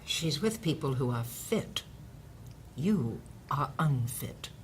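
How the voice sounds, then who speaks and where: An actress playing a stern, sanctimonious matron of an indoctrination centre in an audio drama, condescending and preachy. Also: female voice, very deep voice, animated, takes it slowly, a little hiss or hum, heavily acted. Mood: cold, condescending. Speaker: actor.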